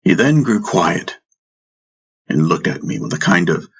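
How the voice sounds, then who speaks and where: Deep-voiced man narrating fantasy. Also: animated, measured pace, breathy edge, lo-fi sound. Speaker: audiobook narrator. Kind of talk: scripted first-person fantasy narration.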